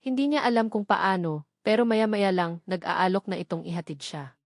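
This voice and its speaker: A woman with a Filipino accent reads a scripted romance story as a storyteller, with sentimental, dramatic phrasing and pauses. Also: medium pitch, creaky, no room sound, clean studio sound.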